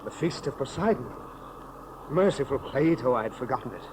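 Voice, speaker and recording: An earnest, loyal commander played by a man from an old radio adventure. His voice is mid-pitched with formal, grave diction, over a faintly noisy 1930s recording. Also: animated, a little fast, natural voice. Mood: grave, uneasy. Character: earnest, loyal Atlantean commander, the hero's companion. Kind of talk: 1930s radio serial acting.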